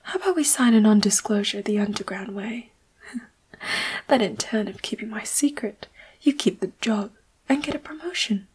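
A woman playing a seductive, secretly murderous leopard-hybrid boss who is tender toward the listener, in an intimate audio roleplay, breathy and often whispering, with a coy, teasing manner. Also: medium pitch, lively intonation, moderate pace. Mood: flirtatious, teasing.

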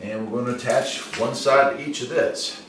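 A man's voice: mid-range voice, fairly even intonation, normal speed. A middle-aged American man giving a casual hands-on demonstration in a folksy, chatty way. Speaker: host. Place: indoor home workshop and garage. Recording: echoey room, distant mic, faint noise.

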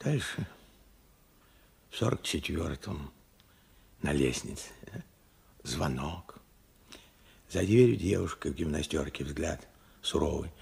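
An old steelworker father in a Soviet radio play. His voice is very husky and gravelly, swinging widely in pitch. He tells of his losses wearily, in short, halting phrases. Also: expressive, darkish tone, heavily acted. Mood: sorrowful, subdued. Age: older. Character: weary old widowed steelworker father recalling war losses. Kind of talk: Soviet radio drama acting.

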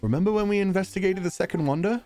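A man's voice: sits high, racing, mellow, faint music behind. A man livestreaming a game, playfully commenting and reading dialogue aloud with a theatrical, joking manner. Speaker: streamer.

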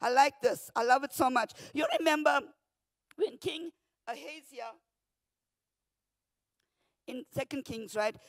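A woman pastor with a South African accent, preaching a morning devotion from a church pulpit into a handheld mic, often shouting, then dropping to a hush. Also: sits high, dry recording. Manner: fervent, often shouting, swings from loud to hushed. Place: church stage behind a pulpit. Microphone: handheld microphone.

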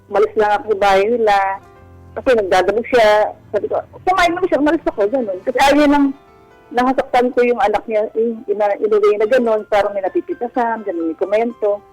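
A woman's voice: on the high side, quick pace, darkish tone, dry recording, rough recording. Woman calling into radio show, telling her story in bits n pieces, stop-start, faint music behind. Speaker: caller to a radio advice show. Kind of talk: casual personal storytelling.

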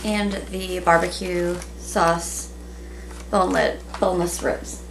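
Slow-talking woman with a gravelly voice. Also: on the low side. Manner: relaxed, chatty, many ums. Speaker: vlogger. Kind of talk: casual haul video chat. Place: small room at home, indoors. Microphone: camera in front at table. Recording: noisy home webcam recording.

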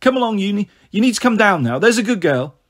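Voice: medium pitch, sing-song, quick pace, voice pushed up. A reader voicing an anxious, bossy young girl minding her siblings in a storybook, urgent and coaxing.

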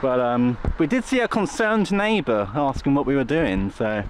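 A man presents a casual vlog while walking outside. His delivery is chatty and tongue-in-cheek, with an average-pitched voice. Faint street and vehicle noise sits behind him. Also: animated, moderate pace, rough recording. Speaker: vlog host. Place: outdoors on village roads.

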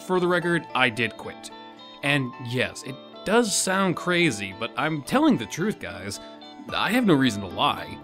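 Man acting a sardonic young guy, swinging pitch. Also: very animated, unhurried, a bit bright, faint music behind. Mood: casual, defensive. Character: sardonic, flustered young human man, newly made Death.

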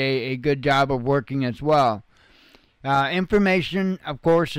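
A man's voice: a little high, clear, resonant tone, no echo. A man giving informal news commentary as a channel host, explaining things in a folksy, plain-spoken way.

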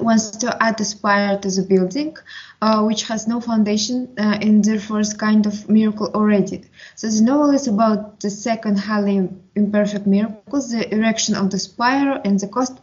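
A woman's voice: medium pitch, a little mellow, dull audio. A young woman giving a prepared book introduction as a student presenter. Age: young adult.

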